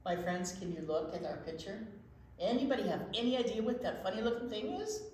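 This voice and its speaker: A woman's voice: mid-range voice, brisk, a little mellow. An older woman chatting casually and playfully to young children as a storytime teacher. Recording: echoey room.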